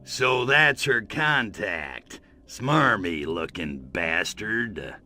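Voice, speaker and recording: An actor playing a brash, lecherous alien invader in a video game, with a cocky, sarcastic, slangy delivery. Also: male voice, fairly low pitch, very animated, extremely slow, bright, forceful tone, soft background music, full character voice. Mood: agitated. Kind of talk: video game cutscene acting.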